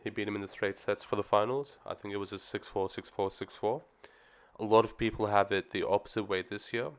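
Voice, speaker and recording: Man, low flat delivery, smooth voice a touch worn from the flu, laid-back rambling vlogger. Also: brisk. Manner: easygoing, rambling, frequent ums and restarts. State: getting over the flu, voice slightly off. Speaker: tennis commentary vlogger. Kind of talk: casual solo opinion talk.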